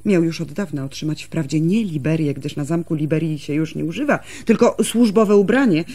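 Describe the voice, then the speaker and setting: An actress playing a candid, devoted elder sister from a village in a radio drama, explaining things in a measured, confiding way. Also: female voice, low-pitched, mellow, soft background music. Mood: earnest, explaining.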